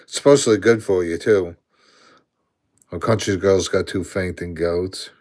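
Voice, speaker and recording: A Brooklyn-accented man in his sixties talks slowly and easily in a lowish voice. He is chatting on a live stream, answering viewer comments aloud and chuckling often between replies. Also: darkish tone. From Brooklyn, New York. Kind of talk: casual live-stream chat.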